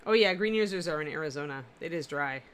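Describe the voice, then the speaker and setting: A woman's voice: low voice, lively intonation, fast talker, smooth. A woman hosting a miniature painting livestream from her apartment, chatting casually and answering viewer comments as she paints, with a powerful voice that carries. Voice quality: powerful, carrying voice.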